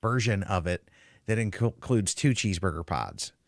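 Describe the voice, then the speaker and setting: A man's voice: low-pitched, animated, moderate pace, no room sound, clean studio sound. A man hosting a casual live stream, reading out viewer comments and chatting emphatically about food. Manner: chatty, emphatic, repeats words for effect.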